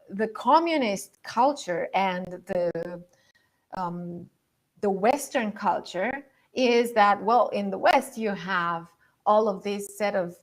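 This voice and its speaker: Slow-talking Romanian-born woman coach. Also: medium pitch. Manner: conversational, thinking aloud with fillers. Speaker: leadership coach. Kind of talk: online masterclass presentation. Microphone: video call.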